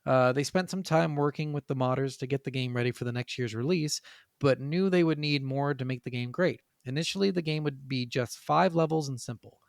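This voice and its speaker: A man's voice: medium pitch, fairly quick, gravelly, no echo, clean studio sound. A man co-hosting a casual gaming podcast, chatting and reading from notes with frequent casual swearing. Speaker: podcast co-host. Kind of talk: casual video game podcast chat, partly reading from notes.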